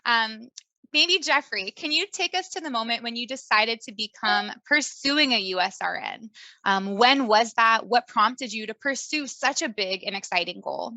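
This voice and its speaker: Bright, upbeat woman host. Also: a little high, normal speed, no room sound. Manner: cheerful, enthusiastic. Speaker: host, nurse recruiter. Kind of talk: upbeat interview hosting.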